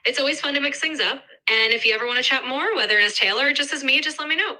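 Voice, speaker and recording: A woman's voice: sits high, rapid-fire, sharp tone, low-quality recording. A synthetic female voice of an AI assistant replying in friendly, polished chat. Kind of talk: friendly scripted chat replies.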